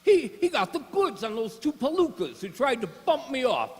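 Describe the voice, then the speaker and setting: Man playing a high-pitched, slangy gangster boss. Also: very animated, moderate pace, full character voice. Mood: grumpy, commanding. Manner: old-time gangster slang, blustery. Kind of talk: amateur radio-play acting. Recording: reverberant hall with clear background noise.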